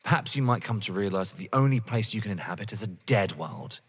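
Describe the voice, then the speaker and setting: A man acting a defiant, contemptuous, wounded rival in an audio drama, husky and emphatic. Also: on the low side, expressive, fast talker. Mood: cold contempt. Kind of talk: dramatic stage-play acting. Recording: long echoing reverb.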